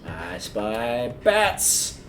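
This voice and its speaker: A man's voice: medium pitch, wildly swooping pitch, drawn-out words. A man giving casual livestream commentary while playing a video game, rambling a bit as he thinks aloud. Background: video game music.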